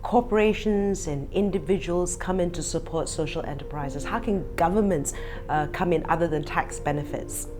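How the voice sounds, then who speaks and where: A woman presenter with a lowish voice, delivering scripted narration and interview questions in a measured, clear way. Quiet music sits faintly behind her voice. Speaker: host and interviewer.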